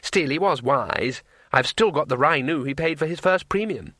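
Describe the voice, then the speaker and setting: A man's voice: fairly high pitch, expressive, moderate pace, pushed and bright, dry recording, studio quality. A man voicing a naive, polite and anxious tourist in a storybook reading, earnest and plaintive.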